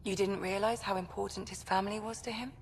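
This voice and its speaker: An actress playing a calm, caring woman confidante in a video game, gentle and supportive. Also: medium pitch, quick pace, lightly acted. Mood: gentle, probing. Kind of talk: video game cutscene acting. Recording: reverberant hall, faint background noise.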